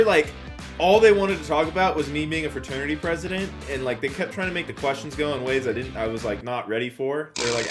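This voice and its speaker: A young man with an American accent and a slightly high voice tells a story in the manner of a casual YouTuber. His delivery is animated and informal, full of slang and self-mockery. Faint music plays behind him. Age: young adult. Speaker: YouTuber telling a personal story.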